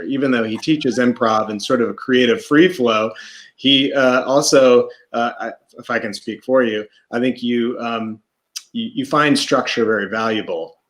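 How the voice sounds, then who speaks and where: Man interviewer, chatty, rambling, jokey, medium pitch, video call. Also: moderate pace, fairly bright tone. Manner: chatty, rambling, keeps making jokes. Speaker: interviewer for a comedy theater. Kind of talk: casual interview.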